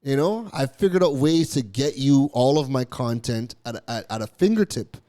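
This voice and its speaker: A man's voice: medium pitch, lively intonation, moderate pace, mellow. A man raised in Canada hosting a business podcast, talking emphatically, repeating key phrases and now and then stumbling over his words. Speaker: podcast host. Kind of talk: solo business podcast monologue.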